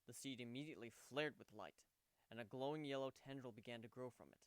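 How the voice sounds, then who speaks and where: Guy narrating a story dramatically, crisp voice, no room sound at all. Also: medium pitch, quick pace, no echo. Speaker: narrator of fan fiction readings.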